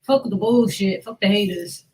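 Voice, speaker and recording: A woman's voice: medium pitch, normal speed, very noisy. A woman hosting a casual podcast chat about celebrity news in a slangy, emphatic way with some swearing. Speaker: podcast host.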